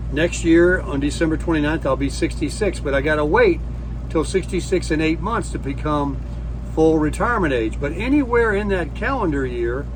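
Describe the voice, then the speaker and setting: A man's voice: fairly high pitch, background noise. A man in his sixties giving a folksy, chatty explainer talk from inside a small camper. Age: 60s. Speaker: vlogger. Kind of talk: casual explainer talk to camera.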